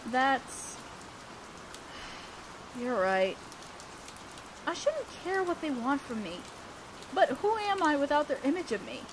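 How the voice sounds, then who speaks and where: Woman acting a high-voiced, bashful schoolgirl. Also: big pitch swings, noisy background, exaggerated voice. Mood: unsure, vulnerable. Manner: halting, bashful, breaking off mid-sentence. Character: awkward, earnest teenage girl student council president. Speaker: audio roleplay performer. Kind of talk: romantic audio roleplay acting, one-sided dialogue. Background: rain sounds.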